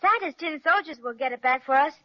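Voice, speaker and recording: Polite young girl, 1930s radio, very high, muffled. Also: lively intonation, quick pace. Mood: earnest. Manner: earnest and polite. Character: polite eager little girl. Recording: muffled old radio recording.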